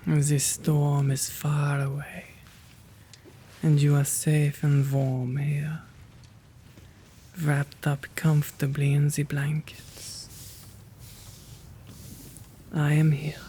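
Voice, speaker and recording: A man voicing a kind, courteous gentleman vampire in a soothing ASMR roleplay, gentle and tender, often slipping into a whisper. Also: on the high side, monotone, very slow, long pauses, a little hiss or hum. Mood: tender, inviting.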